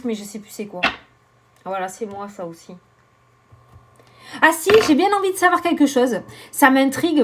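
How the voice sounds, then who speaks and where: Chatty woman, high voice. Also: a little hiss or hum. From southern France. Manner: animated, swearing, laughing, self-mocking. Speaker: tarot card reader. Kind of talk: casual chatty vlog.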